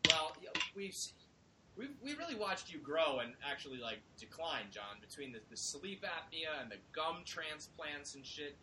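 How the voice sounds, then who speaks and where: A man's voice: on the high side, very animated, moderate pace, background noise. A man hosting a podcast, teasing his co-hosts and steering a casual chat. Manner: teasing, wry, leads the talk. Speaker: podcast host.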